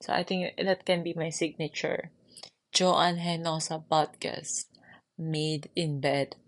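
Slow, soft-spoken Filipino woman. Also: sits low, level tone. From Philippines. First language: Tagalog. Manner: soft and soothing, slow, with frequent small laughs. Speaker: podcast host. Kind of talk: gentle guided reflection and casual chat. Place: bedroom, sitting on the bed.

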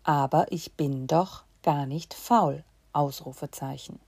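A woman giving a slow dictation to learners, reading in short chunks and saying the punctuation aloud. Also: low voice, creaky, a little mellow, breathy edge, no room sound.

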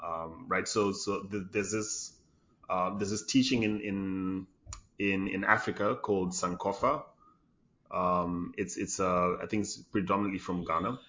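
A man's voice: low-pitched, steady tone, a little slow, pushed and bright, muffled recording. A man talking reflectively in a podcast interview, with many fillers and restarts. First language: English. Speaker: design lead guest.

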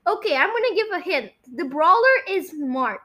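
Young female podcast host, high bright voice, casual game-show chatter. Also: big pitch swings, normal speed. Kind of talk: casual chat and guessing game.

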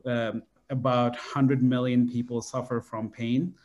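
A man's voice: medium pitch, level tone, measured pace, a little mellow. Hesitant young man from Bangladesh. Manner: hesitant, many fillers and "sort of". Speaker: guest, neuroscience PhD student. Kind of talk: casual interview answers.

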